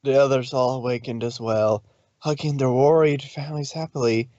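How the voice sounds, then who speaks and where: A man's voice: mid-range voice, steady tone, moderate pace, darkish tone, dry recording, dull audio. A man narrating a story informally, with a loose delivery in which he sometimes corrects himself. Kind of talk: informal fan fiction reading.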